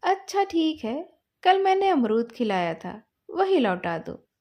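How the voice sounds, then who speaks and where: A proud little boy with a high, lively voice, acted by a woman narrator. He is petulant and defiant, bargaining and quarrelling in storybook dialogue. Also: takes it slowly, heavily acted. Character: proud, wriggly young boy, a policeman's son, arguing.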